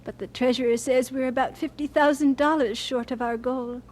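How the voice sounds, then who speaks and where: High-voiced earnest missionary woman played by an actress. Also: fairly even intonation, a little mellow, a little hiss or hum, close to an everyday voice. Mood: calm, steady. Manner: earnest, measured, with deliberate pauses. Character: Ida Scudder, earnest devout woman missionary doctor telling her story.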